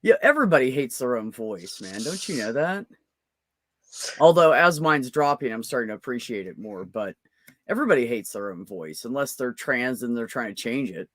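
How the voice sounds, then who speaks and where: A man's voice: mid-range voice, big pitch swings, normal speed, a bit bright, no echo. A man in casual banter, teasing his co-host, laughing often and swearing. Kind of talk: casual chat.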